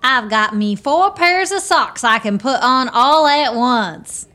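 Folksy small-town camp woman, played by an actress, high-pitched, good-humored, plain-spoken, for radio drama. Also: lively intonation, slow, bright, forceful tone, heavily acted. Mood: cheerful. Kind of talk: radio play acting.

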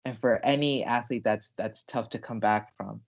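A man's voice: fairly low pitch, steady tone, moderate pace, vocal fry, highs cut off. Young man co-hosting a sports podcast. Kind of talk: casual sports discussion.

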